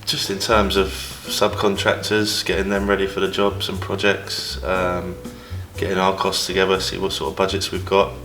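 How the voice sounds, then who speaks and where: A man's voice: low-pitched, completely flat delivery, normal speed, hardly any pauses, fairly bright tone. A man in his twenties with an English accent, an apprentice describing his work in a plain, matter-of-fact way. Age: 20s. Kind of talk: casual interview answers. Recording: roomy with background music.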